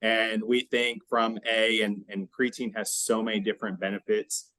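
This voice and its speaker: A man hosting a podcast, explaining exercise science in a conversational, halting way with frequent check-in questions. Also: on the low side, little pitch movement, sharp tone, no room sound, low-quality recording.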